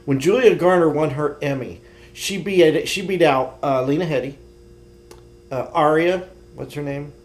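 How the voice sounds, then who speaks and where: A man's voice: on the high side, unhurried. A man chatting casually and informally as a podcast co-host. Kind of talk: casual chat.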